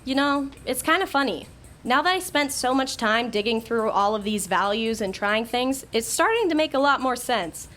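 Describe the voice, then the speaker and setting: A woman's voice: on the high side, animated, normal speed, fairly bright tone. An actress playing an imperious, sardonic young woman in a digital afterlife in an audio drama. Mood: wistful.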